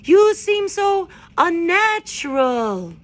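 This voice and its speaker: A woman voicing a loud, fussy, excitable male owl who hoots and screeches at the crowd. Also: on the high side, normal speed, pitched above the performer's own voice. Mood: screeching.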